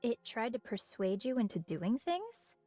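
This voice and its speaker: A woman's voice: a little high, sing-song, measured pace, warm tone, no echo. A woman voicing a poised, inquisitive female researcher with hidden menace in a dramatic video game scene. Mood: fascinated. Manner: curious, probing, poised. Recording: music behind the voice.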